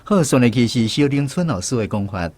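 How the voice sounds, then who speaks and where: A man's voice: medium pitch, lively intonation, a little fast, darkish tone. A man with a Taiwanese accent hosting a language lesson segment on the radio. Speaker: host of a translation quiz segment.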